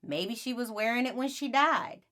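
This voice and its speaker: A woman's voice: a little high, moderate pace, fairly bright tone, voice pushed up, lightly acted. A woman voicing a teasing, skeptical boy in a storybook, with a taunting, contrary manner. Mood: doubting. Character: teasing boy, skeptical, spooky-minded sibling.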